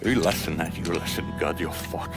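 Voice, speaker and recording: An actor playing a bitter, foul-mouthed older Irish gangster in a video game, profane and belligerent. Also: male voice, low-pitched, normal speed, music in the background, close to an everyday voice. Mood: angry, bitter. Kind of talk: video game cutscene acting.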